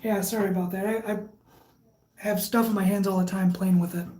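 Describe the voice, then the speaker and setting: A woman's voice: mid-range voice, a little hiss or hum. Woman with a Michigan accent, echoey room. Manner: relaxed and halting, with frequent fillers and restarts. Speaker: live stream host. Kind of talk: casual live chat, reading viewer comments.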